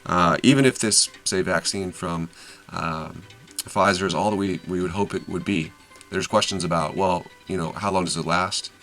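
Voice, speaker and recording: Deep-voiced man summarizing an earnings call. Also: steady tone, moderate pace, fairly bright tone, faint music behind. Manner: conversational, many fillers and self-corrections. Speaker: investing channel host.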